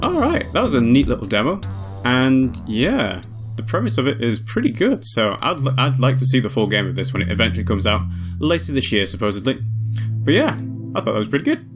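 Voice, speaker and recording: A man's voice: medium pitch, huge pitch swings, a little fast. A man hosting a let's play, reading a visual novel aloud with casual commentary. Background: music.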